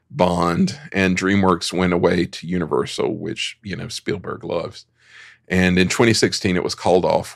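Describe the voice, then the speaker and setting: A man's voice: low-pitched, vocal fry, dry recording, studio quality. A man giving an informal history talk as a podcast panelist, conversational with dryly wry asides.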